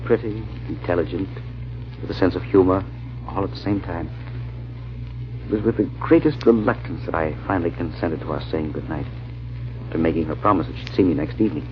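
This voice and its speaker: An actor playing an earnest, wry amateur sleuth, measured and dryly humorous, in an old-time radio mystery. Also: male voice, low voice, natural voice. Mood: brooding, reflective. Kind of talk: old-time radio drama narration and acting. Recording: muffled old radio recording, faint hiss.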